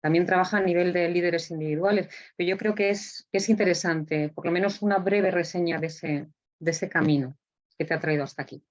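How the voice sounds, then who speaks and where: A woman hosting an online talk, introducing a speaker with halting, deliberate phrasing and drawn-out words. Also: lowish voice, fairly even intonation, highs cut off. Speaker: host introducing a guest speaker.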